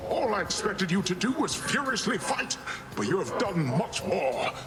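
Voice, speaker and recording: A man's voice: high voice, very animated, moderate pace, over loud music. A man acting a boastful, goofy middle-aged sea god uncle in a video game, with a grand, jovial theatrical delivery. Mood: touched.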